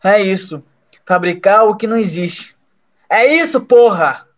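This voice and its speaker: Man acting cocky high-voiced young schemer. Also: animated, measured pace, a bit bright. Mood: urgent. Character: brash young male schemer, cocky and vengeful. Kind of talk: audio drama acting.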